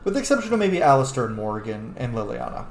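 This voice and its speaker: Male streamer, casual and sweary, animated pitch, echoey room with faint noise. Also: fast talker, gravelly. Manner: sweary, chatty. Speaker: game streamer. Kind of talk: casual gameplay commentary.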